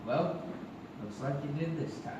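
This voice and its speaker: An actor playing a cocky, lovestruck young gambler with folksy, easygoing speech in a live stage radio play. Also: male voice, a little high, moderate pace, a little hiss or hum, lightly acted. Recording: echoey theater, distant mic, loud music.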